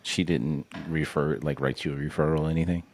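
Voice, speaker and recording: A man's voice: rumbling low, steady tone, brisk, a little mellow, slight background noise. A young adult man co-hosting a podcast in a laid-back, teasing casual chat. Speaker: podcast co-host.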